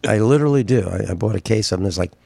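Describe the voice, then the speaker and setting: A man hosts a talk radio show in an easygoing, chatty way, chuckling and doubling his words. His voice is low and gravelly. The sound is dry and close. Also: fast, dark tone, no echo.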